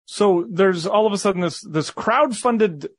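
A man co-hosting a radio interview, chatty and relaxed with fillers. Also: sits high, measured pace, dry recording. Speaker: radio co-host.

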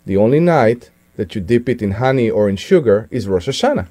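A man's voice: medium pitch, lively intonation, fairly quick, mellow. A man with an Israeli accent co-hosting a talk radio show, teasing the others dryly. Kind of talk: casual talk radio banter. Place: radio studio.

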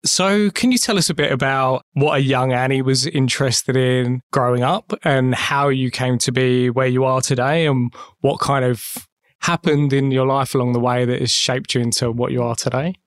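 A man's voice: medium pitch, fairly even intonation, normal speed. A man hosting a podcast interview, asking friendly, encouraging questions. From United Kingdom. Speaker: podcast host.

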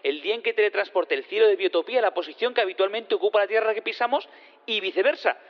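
An actor in an audio drama playing an eager space physicist on the witness stand, rambling, hesitant and repeating himself. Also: male voice, sits high, fast, natural voice. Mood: proud. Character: rambling, eager male space physicist who teleports things. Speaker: witness in a courtroom. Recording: dull lo-fi sound in long reverb.

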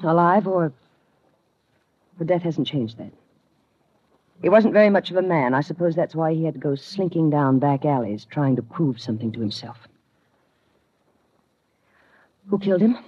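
Husky, arch haughty rich wife. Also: female voice, deep voice, animated, normal speed, pauses often, mellow, dry recording. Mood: cool, sardonic. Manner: arch, languid, sardonic. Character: cool, haughty, flirtatious wealthy wife in her twenties. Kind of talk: 1950s radio noir acting. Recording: muffled old 1950 radio recording.

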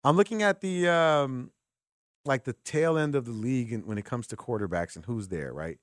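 A man's voice: mid-range voice, expressive, normal speed, no echo. Dry-sounding man hosting sports talk radio. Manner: conversational, thinks aloud, asks rhetorical questions. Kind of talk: sports call-in talk, opinionated commentary. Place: radio studio. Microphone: close studio microphone.

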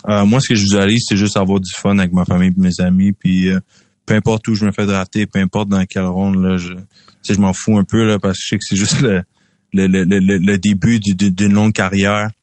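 A man's voice: low-pitched, flat delivery, fairly quick, a little mellow, dry recording. A young man with a Québécois French accent, answering interview questions casually, with many fillers and repeated words. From Quebec. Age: young adult. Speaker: football draft prospect guest.

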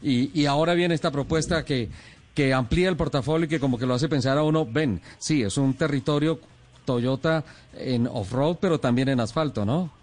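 A man's voice: mid-range voice, normal speed, darkish tone. Chatty male radio co-host. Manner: conversational, tags questions with "¿no?". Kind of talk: radio talk show.

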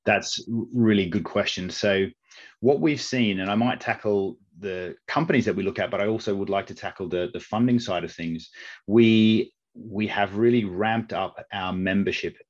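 A middle-aged man from Australia with an accent softened by years in Britain, answering interview questions in a measured way with frequent restarts. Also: fairly low pitch. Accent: Australian, softened by long UK residence. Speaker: investment network CEO, guest. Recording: slightly dull lo-fi audio.

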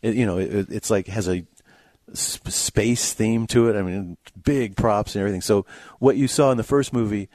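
A man with a lowish voice talks as a radio movie co-host. His delivery is casual, folksy and halting, recorded close in a dry studio. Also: a little mellow, no room sound. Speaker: film review co-host.

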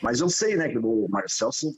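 A man's voice: medium pitch, sing-song, normal speed, breathy edge, dry recording. A man recalling the past in a casual, hesitant way, deferring to others on the facts. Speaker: interview guest. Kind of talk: casual recollection. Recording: dull lo-fi sound.

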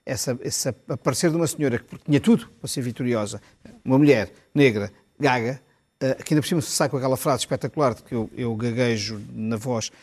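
A man, arguing as a commentator in a televised panel debate, talking quickly with many restarts and repeated words. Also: mid-range voice, darkish tone. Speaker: political commentator.

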